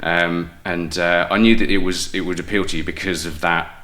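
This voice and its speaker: A man's voice: deep voice, steady tone, moderate pace, bright, forceful tone. A man with a British accent, talking reflectively and casually as an interview guest. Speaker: guest, playwright and audio drama producer.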